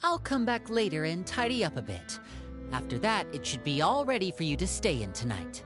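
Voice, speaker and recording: Genial young gentleman for a game: courteous, smooth, welcoming, lively pitch movement. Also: fairly quick, over loud music. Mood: genial, helpful. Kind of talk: video game voice acting.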